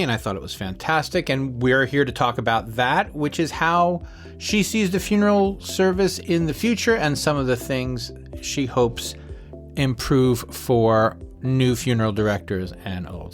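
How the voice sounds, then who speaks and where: A man's voice: mid-range voice, normal speed, soft background music. Conversational man hosting a podcast. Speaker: podcast host.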